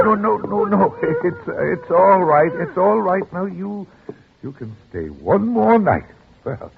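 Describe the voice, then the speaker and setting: An old radio play with thin, boxed-in sound. an elderly, frightened father, voice rather high and very animated. He speaks haltingly and repeats himself, protective and loving. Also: creaky, husky, full character voice. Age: older. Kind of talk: 1940s radio drama acting. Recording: muffled old radio recording with music.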